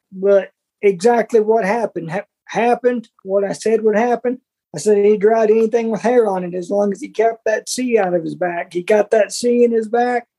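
A man's voice: high voice, mellow. A man co-hosting a sports podcast, giving casual commentary in a folksy, colloquial way. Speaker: podcast co-host.

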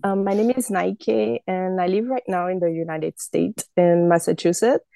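A woman speaks as an interview guest, her voice low and mellow. She explains herself earnestly and steadily, with a few small restarts. The sound is close and dry. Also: steady tone, moderate pace, vocal fry, no room sound. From Haiti. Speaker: guest herbalist. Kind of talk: interview self-introduction.

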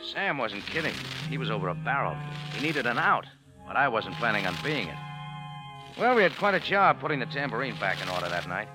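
An actor with an American accent playing a tough, wry bar owner, wisecracking and narrating in 1940s radio noir style. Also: male voice, mid-range voice, sing-song, brisk, loud music behind the voice, natural voice, low-quality recording. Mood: dry, narrating. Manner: hardboiled, wisecracking, slangy.

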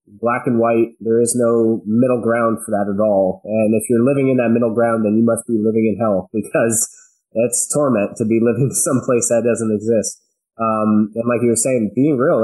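A man's voice: mid-range voice, darkish tone, rough recording. A man chatting casually as a podcast guest, emphatic and slangy, telling anecdotes and posing rhetorical questions. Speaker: podcast guest, business owner.

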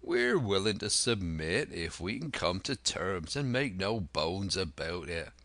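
A man's voice: low voice, animated, a little slow, a bit bright, dry recording. A man voicing a cheery, sly old pirate captain with rustic sailor talk in an adventure storybook. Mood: wheedling.

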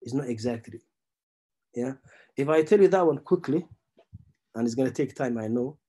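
A middle-aged man teaches a religious class in English. His pitch sits in the middle range for a man. He goes through each point step by step, repeats key terms and checks in with his listeners. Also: expressive, moderate pace, dark tone, husky. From Somalia. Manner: explains step by step, asks the audience questions, repeats terms. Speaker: Islamic teacher. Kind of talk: religious lesson.

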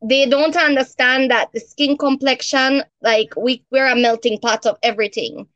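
A woman from Belize with a Belizean Creole accent, explaining things in a relaxed way on a podcast and laughing often. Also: high voice, moderate pace, no echo, muffled recording. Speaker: guest. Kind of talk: casual podcast conversation.

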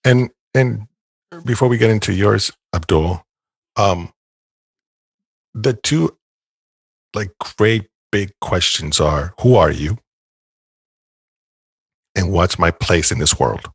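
A deep man with a gravelly, very husky voice, musing aloud on a podcast. He circles back and restarts his thoughts often, in a dry, close sound. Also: slow, long pauses, no echo. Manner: thoughtful, rambling, many restarts. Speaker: podcast co-host. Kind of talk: casual podcast conversation.

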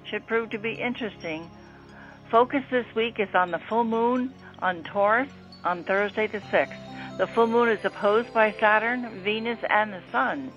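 A woman's voice: medium pitch, moderate pace, a bit bright. Woman reading horoscopes, dull lo-fi sound. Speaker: astrology segment presenter. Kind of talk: scripted radio horoscope narration. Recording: dull lo-fi audio. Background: faint music.

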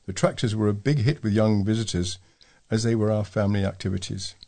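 A man's voice: fairly low pitch, brisk, creaky, a little mellow. A man reading scripted local news stories as a volunteer newsreader.

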